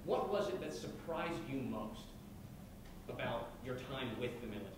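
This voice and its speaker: A man's voice: medium pitch, expressive, moderate pace. A man who is an army officer asking a formal, polite question from the audience. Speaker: audience member, army captain. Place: event hall, audience floor. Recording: distant echoey hall with noise.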